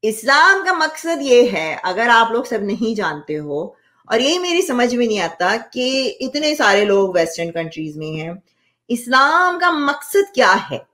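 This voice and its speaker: A woman's voice: on the high side, sing-song, measured pace, pushed and bright. A woman, giving blunt, emphatic, opinionated commentary to camera in a casual way, mixing two languages. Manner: emphatic, blunt, coarse-spoken. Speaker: vlogger. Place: small home office indoors.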